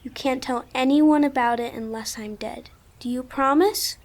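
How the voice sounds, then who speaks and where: A woman's voice: high voice, moderate pace, darkish tone, no room sound. A woman playing a pious, earnest twelve-year-old saintly girl in a religious audio drama. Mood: fervent, solemn.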